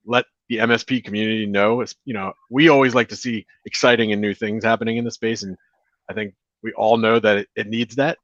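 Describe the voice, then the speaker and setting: A man hosting a casual video-call interview from a beach house, relaxed and chatty with filler words. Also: fairly low pitch, a little fast, dry recording. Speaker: show host. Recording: dry, dull lo-fi audio.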